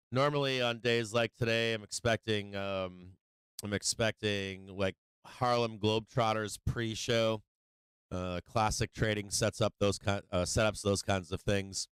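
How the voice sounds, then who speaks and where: A man's voice: fairly low pitch, fairly bright tone, clear, resonant tone, no echo. A man hosting a livestream, chatting casually and informally with viewers, his voice slightly worn. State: voice starting to go. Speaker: livestream show host.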